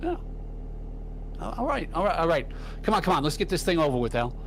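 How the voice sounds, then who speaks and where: A man playing a jumpy, squeamish working-class morgue worker in an old-time radio drama, halting and repeating himself. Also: on the high side, big pitch swings, creaky, a little hiss or hum. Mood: jittery. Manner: halting, repetitive, colloquial.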